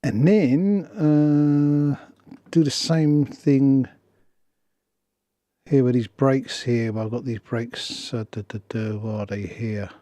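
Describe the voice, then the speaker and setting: A hoarse male music producer talking through a screen tutorial step by step, thinking aloud in short half-finished phrases. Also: mid-range voice, slow pace, dark tone, dry recording. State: hoarse after a cold. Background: electronic dance music playing.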